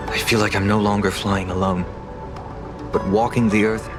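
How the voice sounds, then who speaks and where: An actor playing a gentle, sincere young male traveller in a game, calm and thoughtful. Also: lowish voice, normal speed, music in the background, natural voice. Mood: reflective, tired. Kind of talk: scripted game dialogue.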